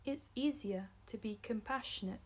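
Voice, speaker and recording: A woman narrating a guided meditation as a teacher, in measured short phrases separated by long pauses. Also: fairly high pitch, slightly rough.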